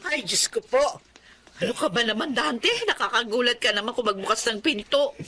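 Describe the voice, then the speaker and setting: An older mother who frets and pleads. Her voice is high and sharp, in Filipino radio drama style. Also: fairly quick. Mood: startled.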